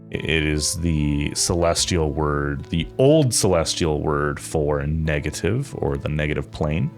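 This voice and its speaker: A man improvising narration as a tabletop game master, in a halting, thoughtful way with pauses. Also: a bass voice, creaky.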